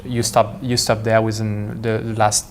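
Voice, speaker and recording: A man's voice: fairly low pitch, little pitch movement, measured pace, a little hiss or hum. Man explaining pronunciations. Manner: hesitant, many fillers and restarts. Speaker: vintage watch expert. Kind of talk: casual conversational explanation.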